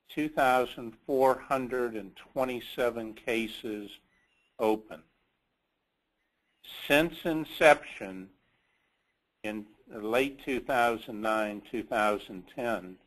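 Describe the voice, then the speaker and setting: A man presenting business results on an investor call as a company executive, in a measured, halting way with pauses mid-sentence. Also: medium pitch, rough recording.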